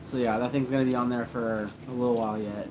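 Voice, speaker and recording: A man hosting a casual livestream, relaxed and joking as he reads comments after a few drinks. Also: medium pitch, fast, noisy background. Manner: relaxed, joking, a little tipsy. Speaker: host of a paranormal channel livestream.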